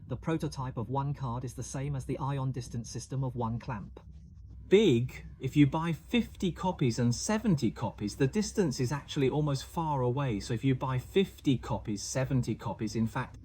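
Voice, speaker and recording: Mellow-voiced man explaining diamond prices. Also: medium pitch, slight background noise. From Hong Kong. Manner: chatty, asks the viewer questions. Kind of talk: explaining price charts to camera.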